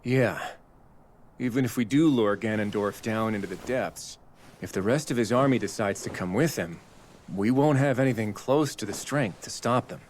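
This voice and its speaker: A man playing a sober, cautious war companion in a video game, earnest and measured in a dramatic style. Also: on the low side, a little mellow, slight background noise, close to an everyday voice. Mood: grave.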